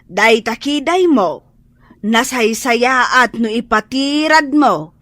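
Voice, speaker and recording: A woman playing a cheeky housemaid in an Ilocano radio drama, calmly teasing with mock helpfulness and an Ilocano accent. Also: fairly high pitch, slow pace, no room sound, clean studio sound. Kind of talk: Filipino radio drama acting.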